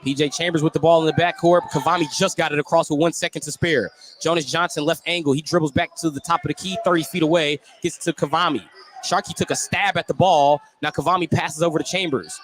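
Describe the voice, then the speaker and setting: A male play-by-play commentator with a fairly high voice calls basketball live on radio. He narrates each movement in descriptive detail, with some gym noise in the background. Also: steady tone, quick pace, fairly bright tone. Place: courtside broadcast spot in a school gym.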